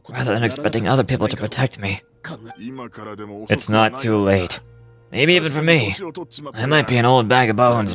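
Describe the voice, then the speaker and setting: Man voicing weary male war survivor, regretful, medium pitch, fantasy video game drama, prominent music behind. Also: natural voice.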